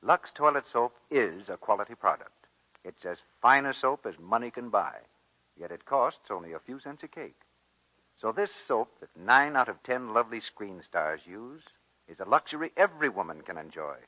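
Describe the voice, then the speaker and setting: An American man working as a 1940s radio announcer, delivering a scripted soap pitch. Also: expressive, normal speed, bright, forceful tone. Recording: muffled vintage 1940s radio broadcast.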